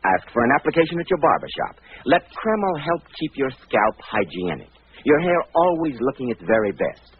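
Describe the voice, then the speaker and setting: A man's voice: very animated, normal speed, slightly rough. A man announcing in a polished 1940s radio commercial style. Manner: polished sales pitch. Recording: tinny old radio recording with hiss.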